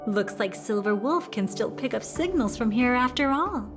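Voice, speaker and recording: An actress playing a composed, calculating woman in a video game, speaking in a measured, watchful way. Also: fairly high pitch, expressive, music in the background, natural voice. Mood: cool, teasing. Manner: measured, deliberate. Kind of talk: scripted video game voice acting.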